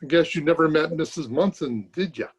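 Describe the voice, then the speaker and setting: A man's voice: a little high, fairly quick, husky. A man playing a folksy, wisecracking, stubborn barber in an old-time radio comedy, recorded at home over a video call. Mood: droll. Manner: folksy, colloquial, chuckling drollery.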